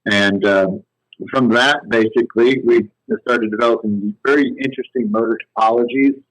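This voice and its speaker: A man telling his company's history as a phone-in podcast guest, in a relaxed, rambling way. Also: medium pitch, moderate pace, dry recording, rough recording. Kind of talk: casual storytelling interview.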